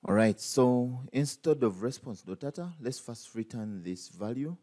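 A man's voice: medium pitch, expressive, measured pace, mellow. A man teaching a coding tutorial over a screen recording, thinking aloud with many fillers and restarts. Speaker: programming tutor.

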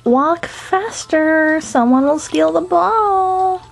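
A bossy little troublemaker acted by a woman teases in a high-pitched, exclaiming voice. It is mischievous amateur comic-dub acting over music. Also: drawn-out words, creaky, full character voice, poor audio. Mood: bossy teasing. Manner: teasing, exclaiming.

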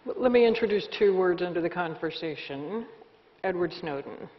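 A woman's voice: lowish voice, lively intonation, moderate pace. A woman in her forties moderating a stage panel, asking direct, poised questions. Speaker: interviewer. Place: stage set with audience, indoors. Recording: muffled hall with long reverb.

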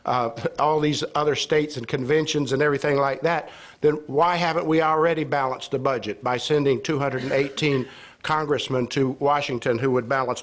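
A man in his sixties speaks at a podium in a hearing room, reading from prepared notes. His voice sits a little higher than most men's. He argues his case with rhetorical questions and a sarcastic edge. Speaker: citizen speaker at a legislative hearing. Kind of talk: prepared speech read from notes. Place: indoor legislative hearing room with seated audience. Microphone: gooseneck podium microphone.

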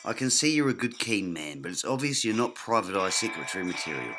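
A man with a New Zealand accent narrating a comic detective story in a dry, hard-boiled deadpan. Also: fairly low pitch, quick pace. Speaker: narrator and lead comic actor. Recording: music playing behind voice. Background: music.

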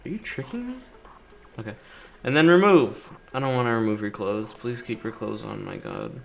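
Male let's-player, casual and chatty, very expressive pitch, talks playfully to characters, light game music underneath. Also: normal speed, vocal fry. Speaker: gaming YouTuber doing a playthrough. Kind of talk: casual gameplay commentary. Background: video game music.

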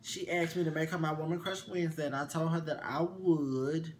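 A young man co-hosting a casual chat show, sassy and animated, his voice swinging up high, recorded in a small bedroom. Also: a little fast, slight background noise. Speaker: co-host.

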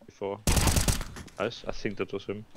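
A man calling out plays to his team and laughing in excitement. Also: fairly low pitch, moderate pace, background noise. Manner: excitable, frequent laughing exclamations. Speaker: teammate. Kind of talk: casual gaming chat. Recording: loud game gunfire behind. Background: gunfire.

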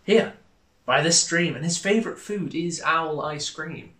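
Guy doing a clever little mouse from a kids book, polite n cheeky, bright voice. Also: fairly high pitch, a little slow, roomy sound. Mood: politely confident.

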